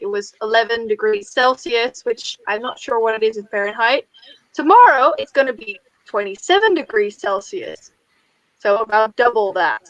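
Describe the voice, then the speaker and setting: A Dutch-accented woman speaks in a fairly high voice with very little room sound. She is chatty and effusive, talking casually as one of a group of co-hosts. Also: fairly bright tone, no room sound, dull audio. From Netherlands. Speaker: book channel co-host.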